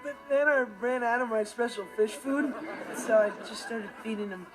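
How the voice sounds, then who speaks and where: An earnest, high-voiced American sitcom teen. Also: male voice, normal speed, soft background music, some chatter behind, poor audio. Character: earnest, excitable, gullible teenage boy. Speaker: sitcom actor. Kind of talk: comedic monologue to the audience.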